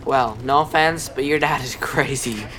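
A woman's voice: very deep voice, moderate pace, a bit bright, a little hiss or hum. A woman acting in an audio drama as a friendly, frank twelve-year-old boy who talks in a casual, matter-of-fact way. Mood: frank, wistful.

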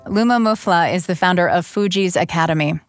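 Woman radio host, lowish voice, crisp scripted narration in a dry booth. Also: dry recording, soft background music. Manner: clear, measured, scripted delivery.

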